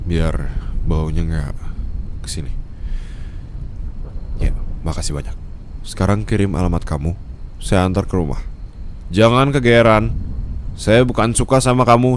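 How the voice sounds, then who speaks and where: A man's voice: on the low side, close to an everyday voice. A man playing a curt, haughty, exasperated young boss in an acted audio roleplay, speaking brusquely and dismissively. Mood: brisk, then annoyed, scornful. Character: curt, haughty young male boss, reluctant blind-dater. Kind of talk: Indonesian ASMR boyfriend roleplay, acted dialogue. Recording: clear vehicle ambience noise behind voice. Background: car ambience sound effects.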